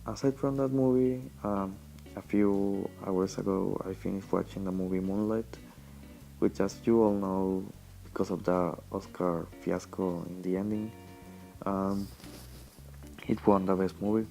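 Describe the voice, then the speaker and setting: Young adult male, gravelly and dark-toned, meandering casual audio-log delivery, faint guitar underneath. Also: sits low, steady tone, measured pace, low-quality recording. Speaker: vlogger. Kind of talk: casual rambling audio diary. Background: quiet plucked guitar music.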